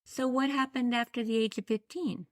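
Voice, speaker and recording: A woman's voice: a little high, fairly even intonation, moderate pace, no room sound, studio quality. A woman hosting a casual interview, chatting with her guest and asking questions. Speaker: podcast host, interviewer.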